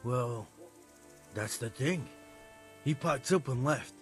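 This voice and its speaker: Lively, earnest medieval countryman. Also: medium pitch, slow pace, faint music behind. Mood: hesitant, earnest. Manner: folksy, hesitant, earnest. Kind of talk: conversational video game cutscene acting.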